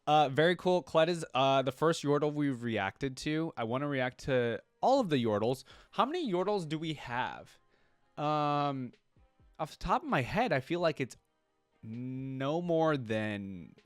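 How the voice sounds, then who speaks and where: A man's voice: medium pitch, no room sound. A man hosting a reaction video, talking casually and thinking aloud in a rambling way, often checking himself with a questioning tag. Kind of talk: casual reaction commentary to camera.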